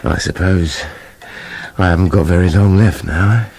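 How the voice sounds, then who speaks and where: An actor voicing a sickly, self-pitying wolf in a darkly comic radio play, complaining in a weary, matter-of-fact way. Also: male voice, low voice, moderate pace, darkish tone, husky. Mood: weary, queasy.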